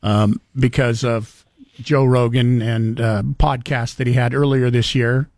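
A man's voice: medium pitch, flat delivery, a little slow, a little mellow, dry recording, studio quality. A man hosting a morning talk radio show, chatting casually, laughing easily and rambling with fillers. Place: radio studio. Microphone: studio microphone.

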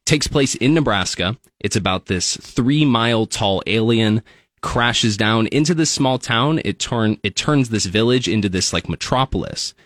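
A man's voice: on the low side, animated, unhurried, fairly bright tone, no echo. A young man, a news reporter chatting upbeat in a radio studio. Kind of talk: casual studio interview.

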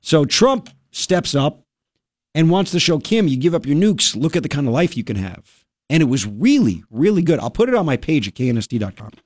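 A male radio host telling a story in casual talk-radio style, animated and full of quick asides. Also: a little high, no echo, clean studio sound.

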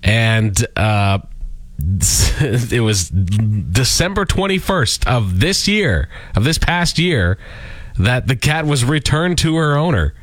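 A man's voice: mid-range voice, animated, slow pace, no echo. A man from the Canadian prairies co-hosting a radio show, telling stories in a rambling way with lots of fillers and chuckles. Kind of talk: casual radio banter.

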